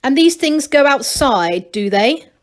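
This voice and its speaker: A woman voicing a grieving old gnome duke in a storybook, soft-spoken, terse and commanding. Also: high-pitched, lively intonation, unhurried, pitched above the performer's own voice. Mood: probing.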